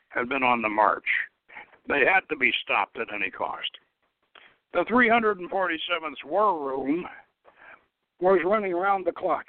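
Guy reading a sci-fi story out loud over a tinny phone line, kinda high voice, trips on words. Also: dry recording. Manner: stumbles and corrects words while reading. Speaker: host reading his own novel. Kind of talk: dramatic reading of satirical science fiction.

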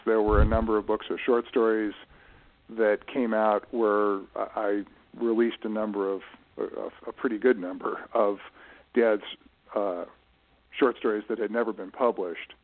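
A man giving thoughtful, explanatory interview answers in a halting way, often restarting and correcting himself as he goes. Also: on the low side, flat delivery, narrow, tinny audio. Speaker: guest, writer managing his late father's literary estate. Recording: band-limited radio-style voice recording.

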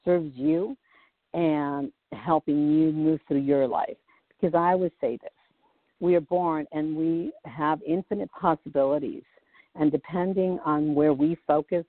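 Measured woman explaining over a tinny line. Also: sits low, no echo. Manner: measured, checks in with "okay?" and "does that make sense?". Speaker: intuitive healer, guest. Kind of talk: explaining in an interview. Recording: tinny phone-like line, dry.

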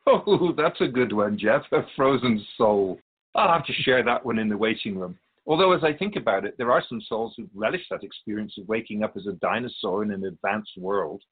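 A man playing a wise, wry otherworldly spirit guide in a radio drama interview, measured and philosophical. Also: on the low side, expressive, husky, narrow, tinny audio. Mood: wryly amused. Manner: measured, philosophical, gently admonishing.

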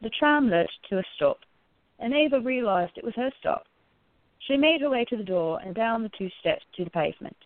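A woman's voice: on the high side, lively intonation, moderate pace. A woman reading scripted story narration for an audio drama. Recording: narrow phone-like sound.

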